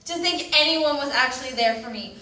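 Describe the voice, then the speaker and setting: A woman's voice: high-pitched, fairly quick, pushed and bright. A woman acting an anxious, self-loathing teenager in a dramatic readers theatre monologue. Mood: bitter, despairing. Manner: dramatic, emphatic delivery. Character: anguished, anxious, self-loathing teenager writing confessional letters. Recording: roomy, distant mic with background noise.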